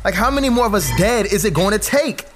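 An actor playing a hot-headed, anxious young activist in an audio drama, speaking in an impassioned, colloquial way. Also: male voice, high-pitched, lively intonation, fast, fairly bright tone, soft background music. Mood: agitated, worried.

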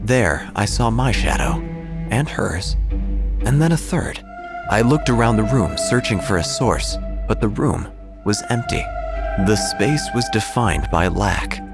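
A man reading a scripted first-person horror story, with deadpan, dryly absurd delivery. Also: lowish voice, a little slow, gravelly, over loud music. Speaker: narrator.

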